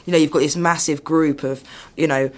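A woman interviewer with a notably low voice. She speaks in a measured, knowledgeable way as she questions guests and talks through the music scene. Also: steady tone. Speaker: interviewer and radio co-presenter. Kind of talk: interview questions and studio discussion.

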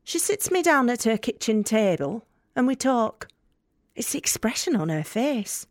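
An actress playing a blunt, working-class Northern English debt collector in an audio drama monologue, deadpan and sardonic as she confides in the listener. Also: on the high side, measured pace, dry recording, studio quality. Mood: dry, guarded. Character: blunt, wry young working-class female debt collector with ailing mother.

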